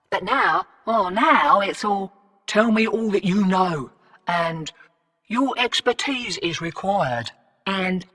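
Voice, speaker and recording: Bright, brash Aussie smuggler, echoing hall. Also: female voice, lowish voice, heavily acted. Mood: sardonic. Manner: slangy, cheeky, streetwise. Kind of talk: video game character briefing and callouts. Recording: echoey hall reverb, distant sound.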